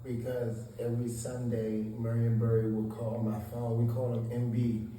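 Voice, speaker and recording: Earnest man, dark echoey far-off sound. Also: medium pitch, monotone, normal speed. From Southeast Washington, D.C. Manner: earnest, repeats words while searching for them. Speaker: interview guest. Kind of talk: sit-down interview answers. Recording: echoey room, voice a bit off-mic, faint noise.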